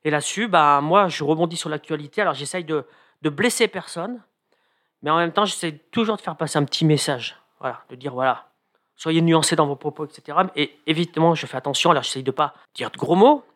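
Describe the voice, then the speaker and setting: A man's voice: on the high side, a little fast, fairly bright tone. A man who writes comedy columns for the radio, chatting in an interview with plenty of hesitations and fillers. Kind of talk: casual radio interview chat.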